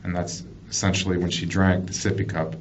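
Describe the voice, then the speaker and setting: Low-voiced American male detective, muffled noisy recording. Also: completely flat delivery, brisk. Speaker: police detective conducting an interrogation. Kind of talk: investigative interview. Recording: muffled, noisy police interview room recording.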